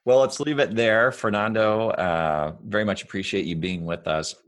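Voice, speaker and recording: A man co-hosting a podcast interview, talking in a measured, deliberate way with some fillers. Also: lowish voice, a bit bright. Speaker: podcast co-host.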